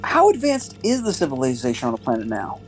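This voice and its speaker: A man's voice: medium pitch, wildly swooping pitch, fast. A man plays a young alien trainee in an audio drama. He sounds questioning and hesitant, naive yet a little snobbish, with faint music behind the voice. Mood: skeptical.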